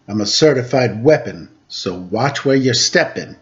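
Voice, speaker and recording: A man voicing a confident female ninja pig who gives firm, measured warnings in a storybook reading. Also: medium pitch, expressive, dull audio, voice pushed down, close to an everyday voice. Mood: stern warning.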